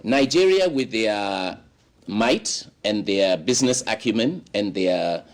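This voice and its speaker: A man talks as an interview guest, spinning long expansive stories. His pitch moves around a lot and his delivery is animated. He leans on "you know" as he builds each point. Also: takes it slowly. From Ghana. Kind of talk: studio interview.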